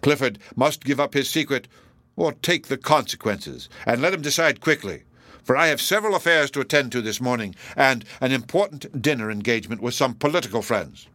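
A man voicing a pompous, menacing elderly judge in a classic novel audiobook, speaking in a formal, measured, self-righteous way. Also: mid-range voice, expressive, bright, forceful tone, no room sound, voice pushed up. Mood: stern, unyielding.

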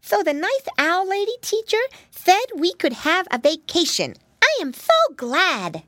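A woman plays an eager little pig boy from a children's storybook, squealing and gleeful. The voice is very high and swoops around in bold exclamations, with a dry, close sound. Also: big pitch swings, unhurried, fairly bright tone, no echo, heavily acted. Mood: excited, gleeful. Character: excitable, squealing little piggy boys, eager and brave.

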